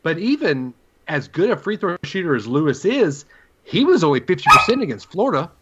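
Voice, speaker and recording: A man's voice: on the high side, wildly swooping pitch, brisk, lo-fi sound, heavy background noise. A man co-hosting a sports talk show, giving his opinions and putting questions in a conversational way.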